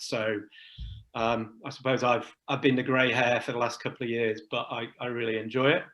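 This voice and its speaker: A middle-aged man on a video call, talking in a loose, self-deprecating manner. His pitch barely rises or falls and sits at an ordinary middle height. It sounds like relaxed conversation rather than a prepared speech. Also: rough recording. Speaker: charity chair and guest. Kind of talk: casual video chat.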